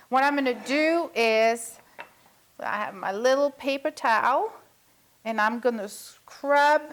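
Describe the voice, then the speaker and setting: A woman from Belgium, chatting through a recipe as a cooking show host. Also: sits high. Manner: chatty, talks viewers through each step. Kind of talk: cooking demonstration.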